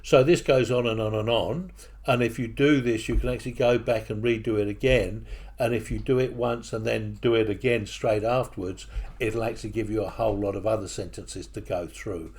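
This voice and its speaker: Husky man with English-Kiwi accent. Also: fairly low pitch, steady tone, brisk, darkish tone. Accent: mixed English and New Zealand. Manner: hesitant, with frequent fillers and restarts. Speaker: software tutorial presenter. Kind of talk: casual screen-recording walkthrough. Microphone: desktop microphone at a computer.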